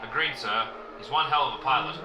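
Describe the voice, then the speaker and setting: A man's voice: mid-range voice, fairly quick, lightly acted. A man playing a deferential, level-headed imperial officer in an audio drama, speaking in a formal military way. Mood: sober, respectful. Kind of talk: scripted audio drama acting. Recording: roomy, distant, noisy ship ambience.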